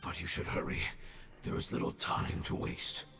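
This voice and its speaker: An actor playing a dying ancient male creature who speaks in a hushed, gravelly, solemn way in a game cutscene. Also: natural voice. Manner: solemn, measured farewell. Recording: echoey voice over game music.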